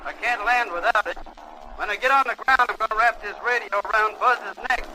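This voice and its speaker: Over a clearly noisy old recording with engine drone comes a man acting a brash, impatient pilot flying blind. His voice is very high and clipped. He barks slangy calls in 1930s radio-drama fashion. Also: a little fast, natural voice. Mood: irritated, urgent. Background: loud aircraft engine drone.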